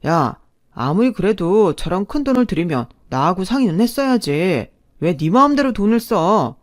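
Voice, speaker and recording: A woman's voice: on the low side, very animated, normal speed. A woman voicing a resentful young husband who complains defensively. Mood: aggrieved. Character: sulky resentful husband, young adult man.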